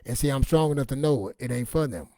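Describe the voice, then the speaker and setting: A raspy-voiced man giving an informal, emphatic personal testimony in a streetwise, repetitive way, acting out bits of dialogue as he goes. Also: medium pitch, animated, fast talker, dark tone, dry recording, clean studio sound. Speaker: online motivational and faith speaker.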